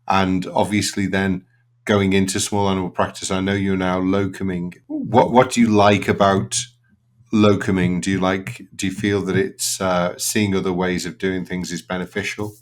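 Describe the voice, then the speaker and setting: A man hosting a veterinary podcast, asking questions in a relaxed, meandering way full of asides. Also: sits low, moderate pace. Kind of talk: conversational interview hosting.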